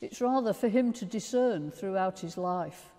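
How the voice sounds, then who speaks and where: An older woman priest speaking in a measured, solemn way as she leads a church service. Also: medium pitch, lively intonation, warm tone. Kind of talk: sermon and liturgy. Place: large stone church, lectern microphone. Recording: reverberant church acoustic.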